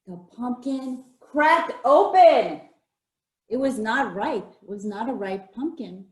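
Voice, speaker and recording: A woman's voice: a little high, animated, slow pace. A woman storyteller performing a folktale read-aloud for children, addressing listeners directly with questions and prompts to join in. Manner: interactive, asking listeners questions and inviting repetition. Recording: roomy, echoing sound.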